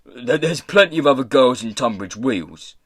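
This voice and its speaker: A man voicing a rough pirate mayor in a storybook, blustering and bullying, with a fawning politeness toward gentlemen. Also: mid-range voice, expressive, normal speed, no room sound. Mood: coaxing. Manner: working-class turns of phrase, swings from threats to humble politeness.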